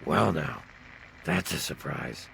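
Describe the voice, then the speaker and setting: A downtrodden ghoul bartender in a video game. The voice is low and heavily fried, a rasping croak. He sounds jumpy, bitter and sorry for himself, over faint background noise. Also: animated, takes it slowly, a bit bright, full character voice, low-quality recording. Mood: weary, grateful. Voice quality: gravelly rasp. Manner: nervous, self-pitying. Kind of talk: video game character dialogue.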